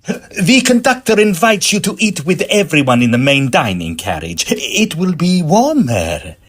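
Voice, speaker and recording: Italian opera singer, male, played by an actor: hot-headed, melodramatic, widely swinging pitch, unhurried pacing. Period farce. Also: wildly swooping pitch, breathy edge, exaggerated voice. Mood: subdued. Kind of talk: farcical period audio drama acting.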